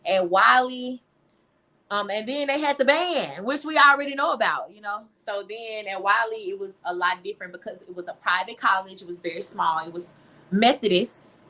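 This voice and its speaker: A woman's voice: medium pitch, normal speed, sharp tone, low-quality recording. A young woman from Louisiana with a Southern American accent, chatting informally and emphatically as an interview guest. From Louisiana, United States. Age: young adult. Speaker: interview guest, singer and songwriter. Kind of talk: casual interview chat.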